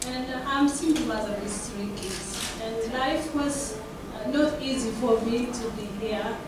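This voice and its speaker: Halting woman speaker in an echoey hall. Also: fairly high pitch. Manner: halting, with many pauses and fillers. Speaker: panel speaker, women's group founder. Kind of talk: personal story at a panel talk. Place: large conference room. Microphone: handheld mic, heard from far. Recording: echoey room, distant, loud noise.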